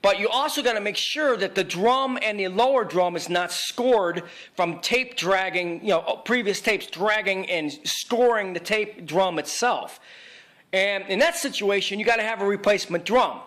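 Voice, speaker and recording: A man with a distinctly high voice talks through a technical tutorial. He sounds like an experienced repair technician speaking informally. His delivery is plain-spoken and emphatic, circling back to repeat key advice. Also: normal speed, a bit bright. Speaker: video equipment repair technician giving a tutorial. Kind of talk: informal instructional narration over pictures.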